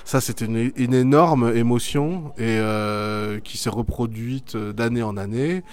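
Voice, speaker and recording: Middle-aged theatre man, reflective, halting delivery with fillers, mid-pitched. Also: a little mellow. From Belgium. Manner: thoughtful, hesitant with many fillers. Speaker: theatre artist and teacher. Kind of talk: school media interview, reflective answers.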